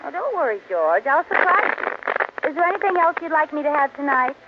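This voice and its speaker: An actress playing an eager, scatterbrained housewife who shows off misused big words in a theatrical 1940s radio sitcom style. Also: female voice, sits high, sing-song, brisk. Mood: showy, bubbly. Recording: muffled, hissy old radio broadcast.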